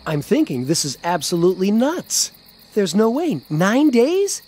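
An actor playing an earnest, sceptical middle-aged American father with a husky voice in a family audio drama. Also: high voice, sing-song, unhurried, a little mellow, heavily acted. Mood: sceptical, bothered.

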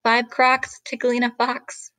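A woman reading a picture book aloud to children like a teacher, with deliberate, expressive, rhythmic phrasing. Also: fairly high pitch, no echo.

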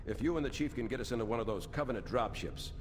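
A man's voice: medium pitch, expressive, fast, slight background noise, close to an everyday voice. A man playing a middle-aged, grave military captain in a video game, speaking with clipped authority. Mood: commanding, urgent. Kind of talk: video game cutscene acting.

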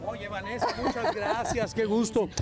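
Fervent high-voiced pastor outdoors. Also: male voice, little pitch movement, normal speed. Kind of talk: interview on location. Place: outdoors at a relief event.

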